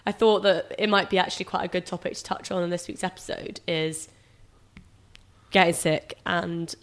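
A woman's voice: lowish voice, steady tone, moderate pace. A young woman co-hosting a casual podcast, congested with a cold, chatty and teasing, a personal trainer. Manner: chatty, teasing, laughs often. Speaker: podcast co-host. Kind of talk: casual chat.

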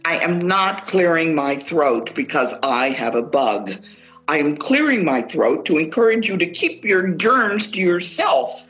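A woman's voice: sits low, lively intonation, unhurried, fairly bright tone, faint music behind, low-quality recording. An actress playing a fussy, anxious germophobic woman in a radio play, with precise, prissy, easily exasperated delivery. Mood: irritated, fussy. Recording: home-recorded virtual radio play.

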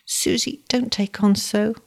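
A high-voiced little boy of six from a storybook, acted by a woman. He sounds gentle and brave, comforting in his manner. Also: fairly even intonation, a little slow, creaky, slightly rough, exaggerated voice. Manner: whimpering, comforting.

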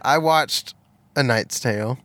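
A man's voice: mid-range voice, slow, a bit bright, no echo. A man co-hosting a movie podcast, chatting casually and jokingly in a giggly, playful manner.

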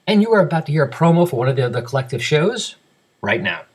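The slightly high, scratchy-voiced guy you would hear running a hobby podcast, talking casually and circling back over his own sentences. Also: big pitch swings, fast talker, breathy edge. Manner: rambling, self-correcting. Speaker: podcast host. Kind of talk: casual podcast talk and show announcements.

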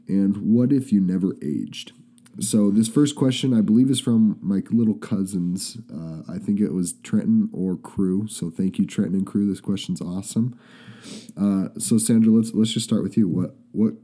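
A man's voice: on the low side, mellow. A young man with a stuffy nose from a cold, hosting a casual podcast and chatting with many restarts. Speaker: podcast host. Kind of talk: casual chat.

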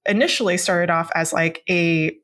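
A woman's voice: fairly low pitch, steady tone, normal speed, a bit bright. A young woman from Hong Kong whose first language is Cantonese, talking casually about her work as a game designer, with lots of fillers and restarts. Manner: chatty, many fillers and restarts. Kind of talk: casual interview conversation.

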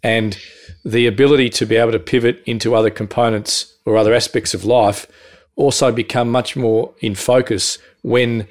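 A man hosting a podcast interview, asking long, measured, wordy questions. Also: medium pitch, steady tone.

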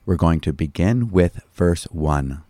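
A man reading a scripted devotional Bible commentary as a pastor, with a measured, teaching delivery. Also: deep voice, lively intonation, dark tone, no echo, clean studio sound.

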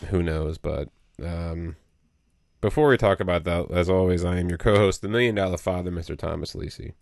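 Low voice guy, bit nasally, rambling podcast chat, super dry room. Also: fairly quick, gravelly, a little mellow, no echo. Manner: rambling, full of you know and um. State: a little nasal from allergies. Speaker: podcast co-host. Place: small home studio.

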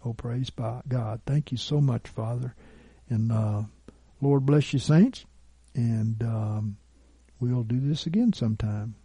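Husky, muted-voiced male preacher. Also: mid-range voice, slow pace, mellow, no echo. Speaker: preacher, Bible teacher. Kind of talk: informal sermon and prayer.

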